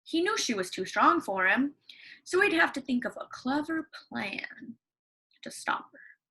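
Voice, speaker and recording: A woman's voice: high-pitched, big pitch swings, unhurried, bright, forceful tone, poor audio. A woman teacher reading a story aloud to her class, chatty, with lots of fillers and questions to the children.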